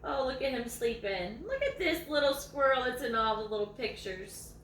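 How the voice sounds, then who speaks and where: A woman's voice: on the high side, very animated, moderate pace, fairly bright tone. A woman doing a picture book read-aloud for children, adding chatty, cooing asides. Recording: echoey room, distant mic, faint noise.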